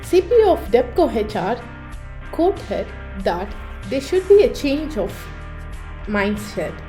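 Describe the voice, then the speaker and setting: A woman's voice: fairly high pitch, big pitch swings, takes it slowly, dark tone, soft background music. A woman introducing a panel discussion as its host, in a prepared, scripted style.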